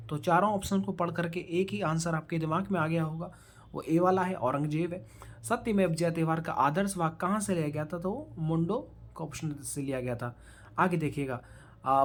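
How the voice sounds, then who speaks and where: A man teaching an online exam-prep quiz lesson, posing questions, then answering and repeating them as he coaches viewers directly. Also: high-pitched. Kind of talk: quiz-style lecture for online students.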